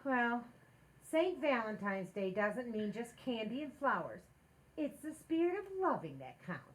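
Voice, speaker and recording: Expressive high-voiced woman playing a romantic radio housewife. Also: measured pace, rough recording. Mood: pleading, romantic. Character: Liz, hinting, romantic, exasperated young housewife. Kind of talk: old-time radio comedy acting.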